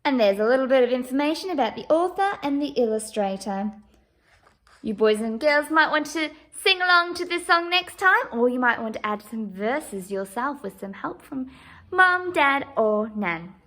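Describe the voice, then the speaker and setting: A woman reading a picture book aloud to young children in an expressive, sing-song way. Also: high voice. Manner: slow, expressive, sing-song delivery with asides. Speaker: story reader for preschool children.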